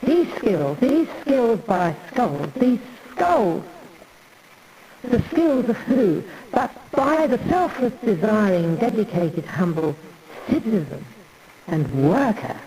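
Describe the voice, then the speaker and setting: A man's voice: high-pitched, very animated, slow pace, mellow, slight background noise, low-quality recording. A man performing a scripted stage monologue in an ornate, declamatory, oratorical manner.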